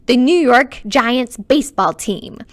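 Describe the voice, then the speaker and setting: A woman working as a children's librarian, reading a storybook aloud in an animated, chatty way and speaking straight to young listeners. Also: on the high side, takes it slowly. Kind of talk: expressive storybook read-aloud with chatty asides.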